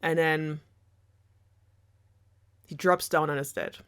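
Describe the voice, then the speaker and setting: A woman's voice: low-pitched, steady tone, slow, a bit bright, clear, resonant tone. A woman casually retelling a story in a sarcastic, rambling, giggly way as a storytelling host. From Germany. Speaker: storyteller host of a web series.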